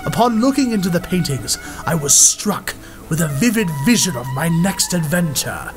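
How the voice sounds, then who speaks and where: A bold, world-famous explorer from an old-time radio serial, speaking high and grand with full confidence. Music plays clearly behind him. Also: male voice, big pitch swings, moderate pace. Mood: confident. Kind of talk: 1930s-style radio drama acting.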